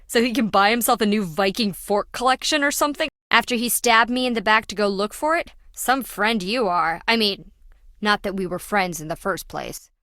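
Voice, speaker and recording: A woman's voice: medium pitch, moderate pace, bright, forceful tone, no room sound, studio quality, close to an everyday voice. An actress playing a greedy, sarcastic treasure-hunting businesswoman in a video game, blunt and dismissive. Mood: scornful. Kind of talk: video game character dialogue.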